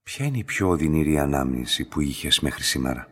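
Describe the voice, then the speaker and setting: An enigmatic middle-aged man speaks in a very deep, husky voice. He is calm, measured and softly insistent, as in a radio play, and his words hang in a long reverberant space. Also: full character voice. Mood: probing, insistent. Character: enigmatic, calm, quietly insistent man who runs a specimen laboratory. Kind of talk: radio drama dialogue.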